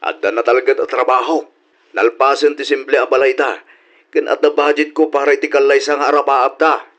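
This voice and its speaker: A man's voice: mid-range voice, flat delivery, brisk, a bit bright, close to an everyday voice. A man playing an earnest, outspoken character in a family radio drama, with an Ilocano Filipino accent. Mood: earnest, hopeful.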